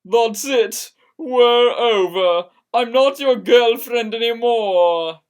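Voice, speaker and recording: A woman's voice: fairly high pitch, takes it slowly, clean tone, pitched above the performer's own voice. A woman voicing a jealous, hurt and angry young girlfriend in a dramatic fan fiction reading. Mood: betrayed, furious.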